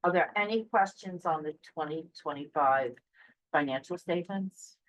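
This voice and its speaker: A woman's voice: deep voice, moderate pace, rough recording. A woman giving a matter-of-fact finance report at a board meeting, reading out figures with small fillers. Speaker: finance officer presenting reports.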